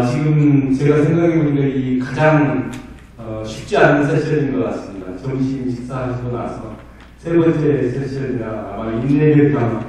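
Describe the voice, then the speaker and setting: A middle-aged man who is hoarse from a cold gives a polite, conversational presentation at a conference. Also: medium pitch, warm tone, a little hiss or hum. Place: large conference hall. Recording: very echoey hall, voice distant.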